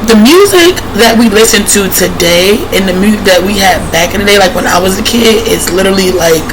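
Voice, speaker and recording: Hoarse, congested woman vlogging over vehicle noise. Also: mid-range voice, expressive, fairly quick. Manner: rambling, full of fillers and restarts; coughs now and then. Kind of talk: casual chat to viewers. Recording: clear vehicle noise behind.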